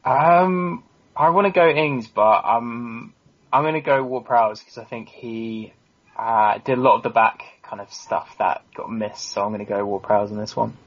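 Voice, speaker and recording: A man's voice: mid-range voice, normal speed. A man on a football podcast panel, talking casually and earnestly in an analytical way. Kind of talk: casual sports discussion. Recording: dull, lo-fi voice recording.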